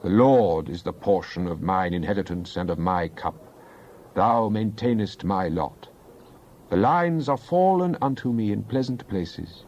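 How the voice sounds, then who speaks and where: A man playing a devout psalmist in a dramatized Bible reading, praying in an earnest, deliberate, declamatory way. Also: fairly low pitch, a little mellow, muffled recording. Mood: devout, trusting. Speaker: scripture reader.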